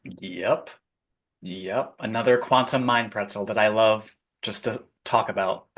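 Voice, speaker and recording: A man hosting a relaxed podcast about spirituality. He sounds eager, laughs easily and keeps affirming his guest. His voice sits at an ordinary middle pitch. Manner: enthusiastic, laughs often, repeats affirmations. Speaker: podcast host. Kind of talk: casual spiritual interview chat.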